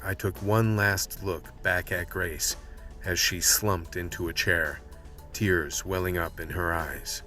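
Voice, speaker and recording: A man's voice: sits low, steady tone, takes it slowly, bright, forceful tone, soft background music, full character voice. A man playing a world-weary, tense vice cop who narrates a radio play in a hard-boiled manner. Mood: tense, brooding.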